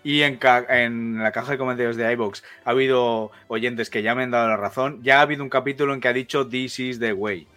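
A man's voice: mid-range voice, fairly even intonation, brisk, hardly any pauses, sharp tone. A man, co-hosting a podcast and insistently arguing his point. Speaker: podcast co-host. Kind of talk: casual chat.

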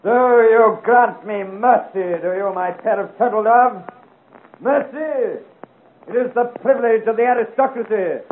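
An actor playing a mocking, swaggering captain of cutthroats with florid, sneering speech, in a 1950 radio serial. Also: male voice, high voice, a little slow. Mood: bitter, scornful. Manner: florid, sneering, theatrical speech with mock gallantry. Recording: tinny, hissy old 1950 radio recording.

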